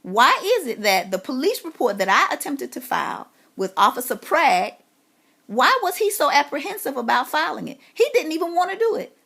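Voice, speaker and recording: Woman, highish pitch, lively swings in intonation, emphatic, aggrieved, phone complaint. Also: moderate pace, gravelly. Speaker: caller, parent making a complaint. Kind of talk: phone complaint, long personal account. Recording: phone call recording.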